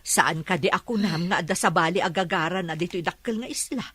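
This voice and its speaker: A woman with an Ilocano accent playing a caring, anxious market-vendor mother in a radio drama, soothing and expressive. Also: on the low side, fairly quick, husky, no echo.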